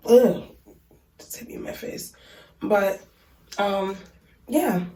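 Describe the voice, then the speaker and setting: A woman's voice: mid-range voice, takes it slowly, warm tone. Woman vlogger with a cold, stuffy and chatty, in a small bathroom, a bit off-mic with room echo. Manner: chatty, many ums and likes. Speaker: beauty vlogger reviewing hair. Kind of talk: casual unboxing review. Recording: echoey room, mic at a distance.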